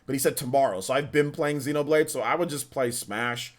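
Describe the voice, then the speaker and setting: A young man chatting on his live stream, reading viewer questions aloud and answering them off the cuff. His voice sits at an ordinary middle pitch, and he loops back over his own words with fillers and restarts. It sounds relaxed and unscripted. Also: a little fast. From California. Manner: rambling, repeats himself, many restarts and fillers.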